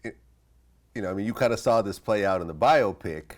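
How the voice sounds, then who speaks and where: A man's voice: fairly low pitch, fairly quick. A man with an American accent asking questions casually as the host of an interview.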